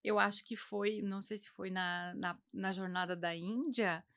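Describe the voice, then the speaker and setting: A woman's voice: medium pitch, fairly even intonation, a little slow, fairly bright tone, clear, resonant tone. Encouraging woman podcast host. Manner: encouraging, frequent "uhum". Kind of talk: casual conversation.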